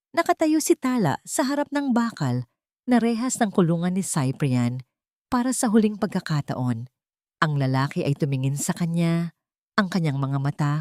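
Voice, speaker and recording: A woman reading a scripted dramatic story in a measured, literary storytelling manner. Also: lowish voice, darkish tone, no echo, studio quality. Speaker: narrator.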